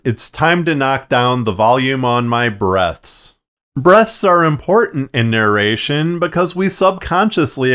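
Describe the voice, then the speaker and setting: A man narrating a tutorial in a measured, plain-spoken, instructional way, explaining his production process step by step. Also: mid-range voice, a bit bright, smooth. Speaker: fiction podcast producer and narrator. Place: acoustically treated recording space.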